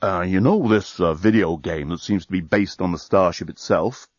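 A man voicing a sensible, hesitant man in a sci-fi audiobook, low-key and halting. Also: dry recording, muffled recording. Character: Dan, a sensible, hesitant man stranded aboard a starship.

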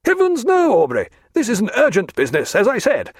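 Man doing a loud bluff old admiral, high booming voice, bouncy delivery, husky tone. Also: huge pitch swings, brisk, dry recording, studio quality, exaggerated voice. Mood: bluff hearty. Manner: loud blustering, old-fashioned naval talk.

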